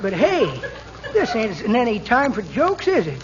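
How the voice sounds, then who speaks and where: A man's voice: sits high, huge pitch swings, measured pace, heavily acted. An actor playing a folksy, teasing old man who loves telling jokes in a 1940s radio comedy. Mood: jokey, folksy. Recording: noisy, dull 1940s radio recording.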